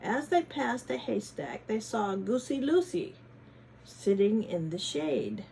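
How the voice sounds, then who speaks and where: A woman reading a storybook to children in an expressive, teacherly way, adding chatty asides and questions. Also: mid-range voice, slow, gravelly, a little hiss or hum. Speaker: children's read-aloud host, former teacher.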